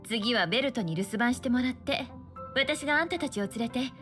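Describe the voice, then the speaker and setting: A woman playing an elegant, confident navigator in an anime-style game, poised, conversational and gently playful. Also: a little high, animated, brisk. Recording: faint music under the voice.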